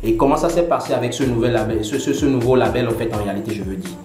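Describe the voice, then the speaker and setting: A man's voice: medium pitch, very fast, roomy sound. A man from Benin hosting a casual TV interview, rambling with many fillers and stutters. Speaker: TV host.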